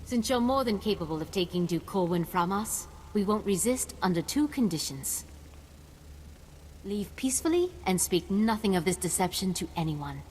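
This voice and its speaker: An actress playing a composed noblewoman diplomat in a video game, speaking formally and earnestly in measured phrases. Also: mid-range voice, animated, a little mellow, slight background noise, natural voice. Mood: formal, resigned. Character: composed, diplomatic noblewoman official negotiating calmly.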